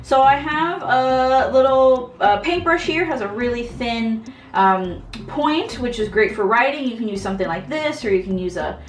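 A woman's voice: fairly high pitch, expressive, normal speed, soft background music. An American woman in her thirties giving a scripted educational presentation as host, recorded indoors at home. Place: small furnished room at home, indoors.

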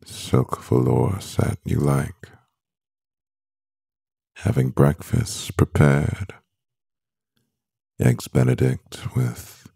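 A man acting a composed, doting CEO boyfriend in an ASMR roleplay, speaking in a measured, gravelly voice. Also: warm tone, no echo, clean studio sound, heavily acted. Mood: tender, teasing. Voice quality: very deep, gravelly. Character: powerful male CEO boyfriend, composed, doting, gently teasing.

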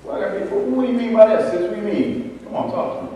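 Actor as concerned, coaxing middle-aged uncle in a stage drama; high for a man, very echoey recording. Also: fast, warm tone, lightly acted. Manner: coaxing, colloquial, interjecting. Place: indoor theatre stage with living-room set. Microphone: far camera. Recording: echoey hall, far camera, faint noise.

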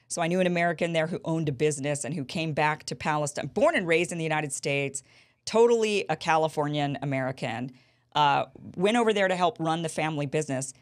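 A woman in her thirties hosting a political interview show, telling stories with animated gestures in a small studio. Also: low-pitched, fairly quick. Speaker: host. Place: small studio with a bright backdrop. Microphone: studio mic on a boom.

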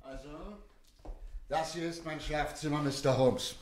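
A man acting in a German radio play as an older country gentleman, hearty, obliging and a little condescending. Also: on the high side, measured pace, soft background music, lightly acted. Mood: genial.

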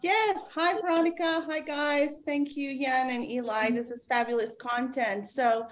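A woman in her forties whose first language is French, sharing a friendly, animated comment on a video call. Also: sits high, measured pace.

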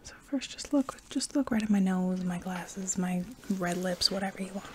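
A young adult woman in a whispered ASMR medical roleplay, breathy and soft, giving reassuring step-by-step instructions up close. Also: lowish voice, moderate pace, slight background noise. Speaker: ASMR roleplayer playing an anesthesiologist.

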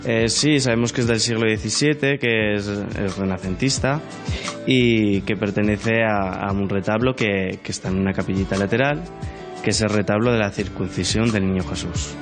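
A man's voice: on the low side, normal speed, music in the background. A man answering interview questions in an explanatory way as an art restorer.

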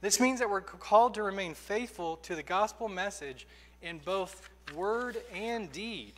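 A man in his thirties preaching a sermon from a church pulpit, earnest and emphatic, slowing down to stress key phrases. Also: high voice, lively intonation, a bit bright. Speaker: pastor. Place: church sanctuary, indoors. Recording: reverberant church hall.

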